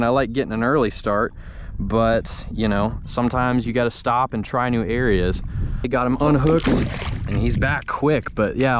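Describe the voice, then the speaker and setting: A young adult man giving casual commentary as a fishing vlogger, talking into an action camera outdoors. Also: mid-range voice. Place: outdoors, on open shallow bay water. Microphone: action camera worn or held close. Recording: outdoor camera with loud wind and water noise.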